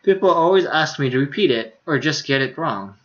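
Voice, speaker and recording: A man's voice: on the high side, lively intonation, fairly quick, fairly bright tone. A man streaming a game, reading dialogue aloud with casual, amused asides. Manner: informal, amused. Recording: slightly dull streaming audio.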